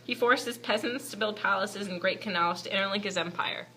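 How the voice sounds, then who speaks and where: Bright-voiced woman as a puppet history teacher. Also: medium pitch, brisk, poor audio. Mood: instructive, exasperated. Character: patient puppet history teacher managing unruly class. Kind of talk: scripted lesson in amateur puppet show. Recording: faint background noise, amateur recording.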